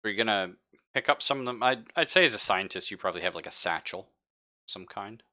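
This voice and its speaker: A man running a tabletop roleplaying game, describing scenes to players in a halting, conversational way. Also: fairly low pitch, animated, bright, forceful tone. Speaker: game master. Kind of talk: improvised narration of a live tabletop roleplaying game.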